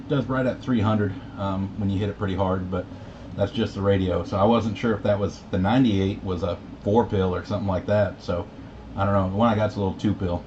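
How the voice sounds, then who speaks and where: A man in casual conversation, transmitting over a CB radio. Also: lowish voice. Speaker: CB radio operator. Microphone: CB radio microphone, heard through receiver. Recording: muffled CB radio reception with static.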